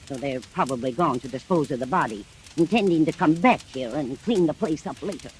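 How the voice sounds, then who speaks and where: An anxious woman caregiver in an old radio mystery. She testifies earnestly and deliberately, ready to accuse, over a faint layer of background noise. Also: low voice, animated, poor audio. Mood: grave. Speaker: witness in a mystery drama.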